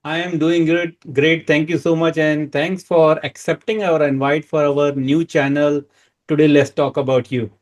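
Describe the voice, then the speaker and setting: A man's voice: a little high, little pitch movement, moderate pace. A man hosting an interview, asking questions in an upbeat, encouraging way. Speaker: host.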